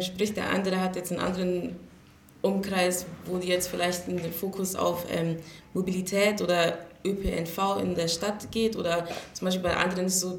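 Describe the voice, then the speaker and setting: Young woman, creaky lowish voice, echoey. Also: slight background noise. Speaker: youth council member being interviewed. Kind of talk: spontaneous interview answers. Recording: roomy echo.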